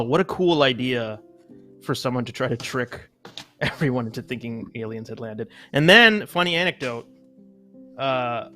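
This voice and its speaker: A man's voice: a little high, pushed and bright. Easygoing man telling a funny anecdote. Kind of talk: casual group chat.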